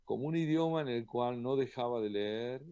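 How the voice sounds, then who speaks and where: A man, a poet and translator, answering interview questions in a thoughtful, measured way with occasional hesitant fillers. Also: mid-range voice, warm tone, clear, resonant tone, no echo, muffled recording. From Argentina.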